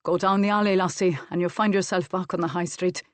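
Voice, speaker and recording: Composed, discreet banker read by a woman, quietly urgent, storybook character, close dry recording. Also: on the low side, steady tone, fairly quick, no echo, lightly acted. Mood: hushed urgency. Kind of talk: audiobook character acting.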